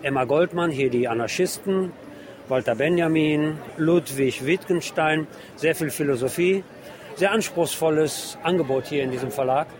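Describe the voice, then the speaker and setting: A busy outdoor crowd surrounds this man's fairly high voice. He reports for radio on the spot, describing what is in front of him without a script and reading titles aloud as he goes. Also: moderate pace. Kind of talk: live spoken field report describing book stalls. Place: outdoors on a busy city street among book stalls. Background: street crowd.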